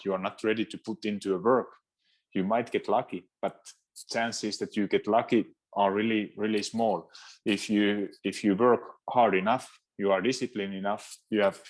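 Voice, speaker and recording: A Finnish man speaking English as a second language, a fitness trainer answering interview questions in a halting way, with frequent restarts. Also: deep voice. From Finland. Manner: halting, searching for words, many restarts. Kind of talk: casual interview talk.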